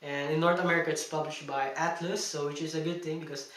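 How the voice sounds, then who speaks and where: Bright-voiced man in an echoey room. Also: fairly high pitch, little pitch movement, fast talker, far from the mic. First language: Tagalog. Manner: chatty and emphatic, laughing between points. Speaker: gaming vlogger. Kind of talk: casual video review. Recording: echoey room, mic set back.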